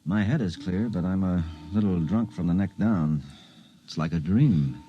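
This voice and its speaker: Low-pitched, determined male detective played by a man, earnest 1950s radio drama delivery. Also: normal speed, warm tone, highs cut off, a little hiss or hum, natural voice. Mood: tender, dazed. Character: earnest, determined male police detective resigning for a new career.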